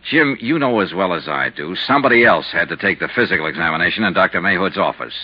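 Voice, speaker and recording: An actor playing a hard-boiled, weary insurance investigator in a 1950s radio detective drama. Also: male voice, deep voice, animated, quick pace, slightly rough. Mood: grim, determined. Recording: muffled 1950s radio recording.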